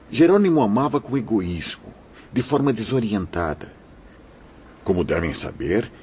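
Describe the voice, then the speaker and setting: A grave, fatherly priest of an audio drama, preaching kindly and in measured phrases. His voice is deep and highly animated in its melody. The sound is muffled, with clear noise behind it. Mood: admonishing. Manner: paternal, measured, sermonizing. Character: kindly, grave priest guiding troubled souls.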